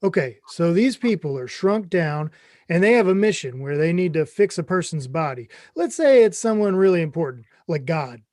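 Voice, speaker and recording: Eager, clueless middle-aged stepdad type, played by a man, high for a male, earnest comedy read, dry recording. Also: expressive, moderate pace, darkish tone, no room sound, close to an everyday voice. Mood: eager pitching. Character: Craig, mom's 55-year-old boyfriend, clueless, eager, chummy. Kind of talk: comedy script table read.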